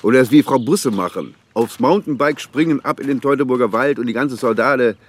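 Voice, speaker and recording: An older actor playing an ageing, world-weary police officer in a German radio crime drama, musing colloquially and grumbling wistfully. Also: male voice, mid-range voice, brisk, close to an everyday voice.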